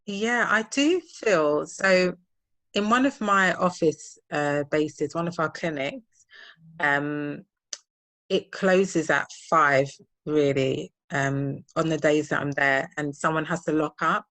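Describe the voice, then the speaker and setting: A woman's voice: low-pitched, slow, a bit bright, no echo. A woman chatting casually as a podcast co-host, thinking aloud as she explains her own experiences step by step. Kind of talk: casual conversation, personal anecdotes.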